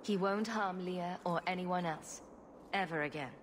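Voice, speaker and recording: A woman's voice: fairly low pitch, a little slow, a little hiss or hum, natural voice. An actress playing a tough, sardonic female mercenary hero in a video game, speaking in a dry, cool-headed, scornful way. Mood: grim. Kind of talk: video game cutscene acting.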